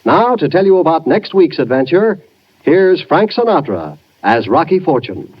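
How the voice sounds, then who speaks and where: Man, 1950s radio announcer, scripted delivery, higher-pitched, very animated intonation, dull old recording. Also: moderate pace, very noisy.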